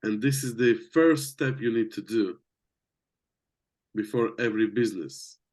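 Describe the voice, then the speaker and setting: A man's voice: on the low side, a little slow, darkish tone. A young man from Latvia with a Latvian accent, a native Latvian and Russian speaker, talking casually and searching for his words. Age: young adult. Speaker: business coach. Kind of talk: casual conversation.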